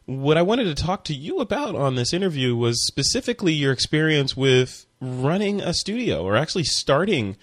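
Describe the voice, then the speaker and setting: A man hosting a photography podcast, reading a sponsor spot and interviewing a guest in a relaxed, chatty way with many fillers and restarts. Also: on the high side, moderate pace, few pauses. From Chicago. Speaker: podcast host. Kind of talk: casual interview and ad read.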